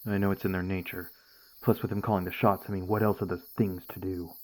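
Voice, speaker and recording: A man playing a courteous, cautious noble vampire lord in an ASMR roleplay, speaking in a formal, gentle, measured way. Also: low-pitched, little pitch movement, close to an everyday voice. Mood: urgent concern.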